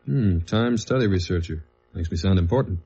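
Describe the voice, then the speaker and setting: Low-voiced American radio detective. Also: male voice, normal speed, warm tone, husky. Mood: measured. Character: undercover investigator man narrating his case, dry and steady. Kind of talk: 1950s radio detective drama acting. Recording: muffled old radio recording with music.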